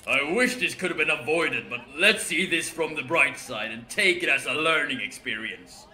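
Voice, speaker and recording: A man's voice: a little high, expressive, normal speed, sharp tone. An actor playing an evasive, matter-of-fact corporate spokesman in a game cutscene. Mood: smooth, defensive. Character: evasive corporate spokesman defending animatronic security. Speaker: company spokesman. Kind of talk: scripted game cutscene dialogue. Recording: roomy, faint background noise.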